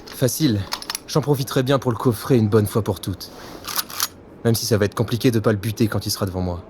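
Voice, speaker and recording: An actor playing a weary, sardonic secret agent in an audio drama, sarcastic and given to muttering. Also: male voice, on the low side, fairly quick, background noise, close to an everyday voice. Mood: jaded.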